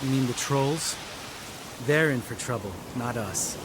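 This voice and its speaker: An actor playing a cocky, hot-headed younger monster hunter in a video game, brash and mocking. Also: male voice, medium pitch, lively intonation, measured pace, noisy background, lightly acted. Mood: scornful. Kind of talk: video game character acting. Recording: loud music bed under dialogue.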